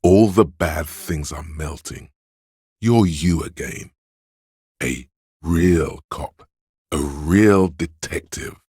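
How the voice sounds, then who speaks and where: A man's voice: slow pace, darkish tone, husky, no room sound, studio quality, full character voice. A man playing a seductive, indulgent inner voice of craving in a video game, narrating in the second person with a theatrical, coaxing, savoring delivery. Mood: soothing, grandiose. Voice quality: very deep, resonant.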